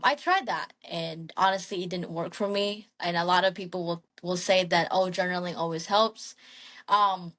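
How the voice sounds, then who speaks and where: A young woman from the Philippines, a singer and guest, talking casually in an interview with frequent ums and restarts. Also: lowish voice. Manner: soft-spoken, frequent ums and restarts, light laughs.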